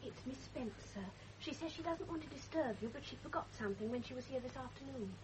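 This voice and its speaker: An actress playing a deferential household maid in a radio drama. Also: female voice, high voice, animated, quick pace, darkish tone. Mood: polite, hurried. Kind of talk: period radio drama acting. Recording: noisy old radio drama recording.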